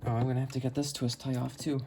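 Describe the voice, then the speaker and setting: A hobbyist casually narrating an unboxing and test in a halting way, thinking aloud while working with their hands. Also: medium pitch, little pitch movement, creaky. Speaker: hobbyist video creator. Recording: noisy, with clicks and handling sounds.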